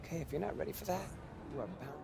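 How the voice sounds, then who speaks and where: A man's voice: fairly high pitch, sing-song, racing, creaky, noisy background. A man acting a blunt, streetwise American basketball mentor in a game cutscene, firing rhetorical questions with emphasis. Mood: earnest lecturing. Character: seasoned basketball mentor, blunt, preaching hard realism to youngster.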